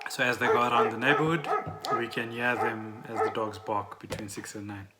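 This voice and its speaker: A man co-hosting a casual podcast, speaking in a halting, unscripted way. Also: mid-range voice, steady tone, loud music behind the voice. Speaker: podcast co-host. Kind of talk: casual conversational podcast chat.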